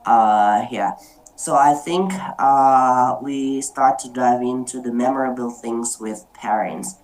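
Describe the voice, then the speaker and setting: Very low-voiced woman moderating slowly. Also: darkish tone, poor audio. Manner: hesitant, many fillers and restarts. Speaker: meeting moderator. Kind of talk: online group discussion, personal storytelling. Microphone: video call.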